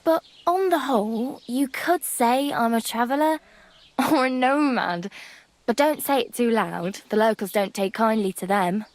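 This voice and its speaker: A woman's voice: on the high side, measured pace, no room sound, natural voice. A woman playing a plucky, wry young medieval beggar who wanders from place to place, in a folksy, teasing way for a video game. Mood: frank. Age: young adult. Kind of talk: video game dialogue acting. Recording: background music under the dialogue.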